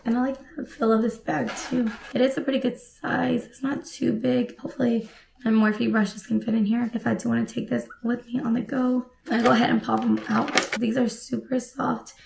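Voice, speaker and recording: A young woman with a raspy, hoarse voice, still recovering after losing it, chatting casually through a makeup tutorial in a small room at home. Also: a little high, fairly quick, slight background noise. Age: young adult. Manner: relaxed, chatty product commentary while applying makeup. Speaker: beauty vlogger.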